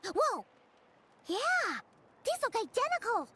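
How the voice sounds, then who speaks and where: A woman voicing a small, excitable, childlike fairy companion in an anime game, squeaky and chatty. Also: slow pace, background noise, heavily acted. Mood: excited. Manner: chatty, exclaiming, refers to self in third person. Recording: music behind the voice.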